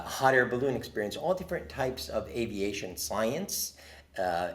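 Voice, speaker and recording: A man from Jordan speaks as a podcast guest, his voice sitting low. He talks in an earnest, expressive way, building up his story with feeling. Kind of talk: casual conversation.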